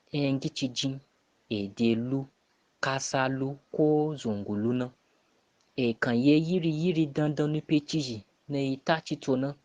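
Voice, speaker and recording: A voice of medium pitch speaks as an academic contributor giving an answer. The sound is dry, with hardly any room around it. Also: a little mellow, no echo. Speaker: academic answering a monthly question.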